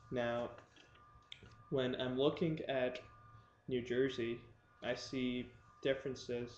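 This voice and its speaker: A young man talking casually to his viewers in a halting way, with many pauses and fillers. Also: mid-range voice, a little mellow, roomy sound, muffled recording, a little hiss or hum. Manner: slow, halting, many ums. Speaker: vlogger. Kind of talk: casual talk to camera.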